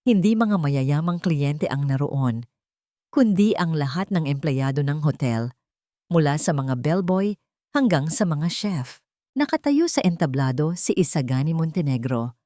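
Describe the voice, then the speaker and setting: A female storyteller with a deep voice reads romantic fiction dramatically. Her phrasing is deliberate and emotive, and the sound is dry and close. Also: darkish tone, dry recording. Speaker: story narrator.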